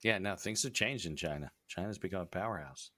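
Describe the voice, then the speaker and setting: Low-voiced American man hosting. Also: a little fast, sharp tone. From United States. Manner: brief affirmations, wry jokes. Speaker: interview host. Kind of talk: casual interview conversation.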